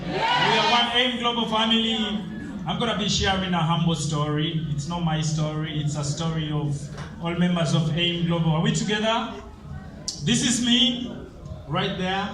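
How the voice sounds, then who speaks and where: A man's voice: high voice, normal speed. A man from Uganda, presenting a motivational seminar and drilling the audience with call-and-response questions. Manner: energetic, rousing, drills the crowd with repeated questions. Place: large hall with audience. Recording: echoey hall, distant mic, crowd noise.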